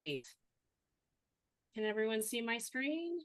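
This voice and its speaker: A woman's voice: a little high, very animated, takes it slowly, dry recording, clean studio sound. Earnest woman from Hawaii presenting. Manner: earnest, soft-spoken, with frequent ums. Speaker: cultural trainer presenting a webinar. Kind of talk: webinar presentation. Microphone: video call.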